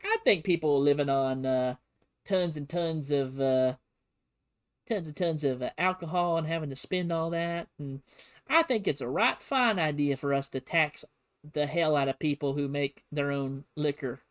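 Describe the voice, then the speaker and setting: A man's voice: fairly high pitch, normal speed, smooth, close to an everyday voice. Man putting on a smug southern-drawling politician. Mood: smug, folksy.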